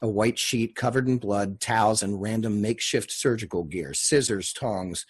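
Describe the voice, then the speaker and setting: A man's voice: on the low side, moderate pace, slightly rough. A man reading screenplay stage directions as narrator, in clipped scripted phrases. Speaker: stage-direction narrator and table read leader.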